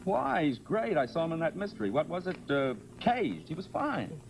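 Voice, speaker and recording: An actor playing a breezy, reassuring boyfriend with a brisk, teasing manner in a mid-century suspense drama. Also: male voice, fairly high pitch, very animated, creaky. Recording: faintly noisy vintage broadcast.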